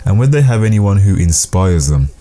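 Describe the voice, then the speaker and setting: Deep guy narrating a doc, lots of melody in his voice, warm dark tone. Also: big pitch swings, fairly quick, soft background music. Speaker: documentary narrator. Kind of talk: scripted narration.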